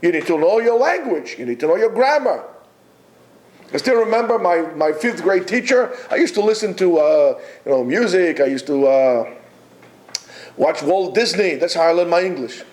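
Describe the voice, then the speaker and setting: A man giving a Torah lecture to yeshiva students, emphatic and exhorting, with many pauses. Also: high voice, lively intonation, a little mellow. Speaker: yeshiva teacher.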